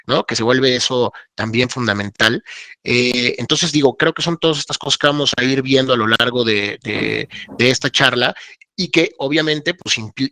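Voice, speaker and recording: A man's voice: mid-range voice, bright, forceful tone, no room sound. A Mexican man speaking off the cuff as an expert panelist in a webinar, explaining things and posing rhetorical questions. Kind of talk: unscripted webinar discussion.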